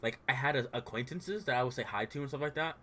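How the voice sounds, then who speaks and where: A man's voice: medium pitch, fast talker, sharp tone. Young man who stutters, rambling casually. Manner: informal, says "bro" and "like" a lot; stutters at times. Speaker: streamer and dungeon master. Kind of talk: casual heartfelt rant on stream.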